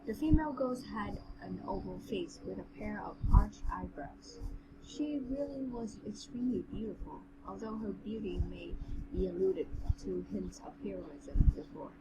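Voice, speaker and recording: A woman's voice: mid-range voice, moderate pace, very noisy. A woman doing amateur narration of a fantasy novel, as co-host of a reading show, on a home recording.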